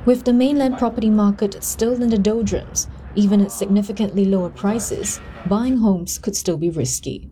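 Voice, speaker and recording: A woman reading scripted news narration in a measured, even way. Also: medium pitch, a little hiss or hum, rough recording.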